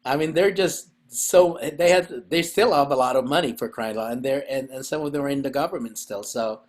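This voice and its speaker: A man's voice: medium pitch, fairly quick. A man, hosting a podcast in a rambling, chatty way and laughing often. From Philippines. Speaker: podcast host and festival organizer. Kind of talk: casual chat.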